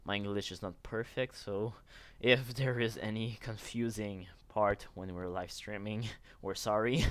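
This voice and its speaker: A man's voice: lowish voice, fairly even intonation, measured pace, hardly any pauses. A man from Canada whose first language is French, the server's builder, chatting casually on a live stream and laughing between phrases.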